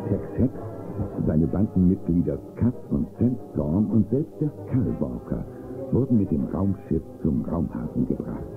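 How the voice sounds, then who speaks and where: A man's voice: deep voice, very animated, unhurried, heavily acted. A man narrating a space western audio drama as a grave storyteller. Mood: measured storytelling. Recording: muffled tinny old cassette audio drama. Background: music.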